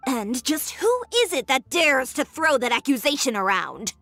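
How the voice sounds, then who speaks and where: A woman's voice: sits high, animated, normal speed, bright, forceful tone, faint music behind, full character voice. A woman playing a poised young fashion designer in a video game, speaking in a composed, direct, businesslike way. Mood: exasperated, sardonic. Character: poised, frank young female fashion designer and boutique owner.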